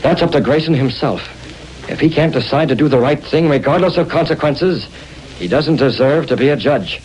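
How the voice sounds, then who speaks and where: An American actor playing a righteous masked vigilante, crisp and authoritative, in a 1930s radio adventure. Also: male voice, fairly high pitch, normal speed, darkish tone, close to an everyday voice, rough recording. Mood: brisk, decisive.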